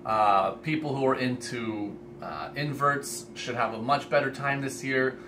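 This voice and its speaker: A man's voice: medium pitch, unhurried. A man in his twenties or thirties making a casual, earnest and enthusiastic announcement to camera as a vlog host. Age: 20s to 30s. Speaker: host, reptile expo organizer. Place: indoor room lined with reptile racks. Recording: steady ambient room noise.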